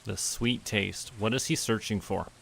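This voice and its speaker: A man's voice: lowish voice, unhurried, creaky, a bit bright, a little hiss or hum. A man chatting casually to himself as he plays a game on stream. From Canada. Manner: talks to himself while playing. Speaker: streamer playing a farming game.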